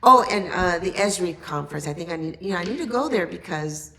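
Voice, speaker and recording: A woman's voice: on the low side, animated, brisk, crowd noise. A woman guest on a podcast casually telling an anecdote, voicing quoted exchanges in a conversational way.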